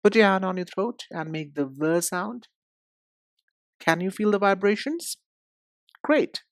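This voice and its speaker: A woman giving an instructional pronunciation lesson, speaking deliberately and enunciating with emphasis and pauses. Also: medium pitch, expressive, no room sound, studio quality. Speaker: English pronunciation teacher. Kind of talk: instructional lesson, demonstrating sounds.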